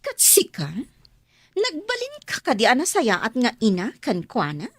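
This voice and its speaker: High, swooping, melodramatic estranged wife. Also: female voice, huge pitch swings, normal speed, a bit bright, dry recording, full character voice. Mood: defiant. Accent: Ilocano. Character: pleading, jealous, sharp-tongued estranged wife and mother. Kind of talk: Ilocano radio drama acting.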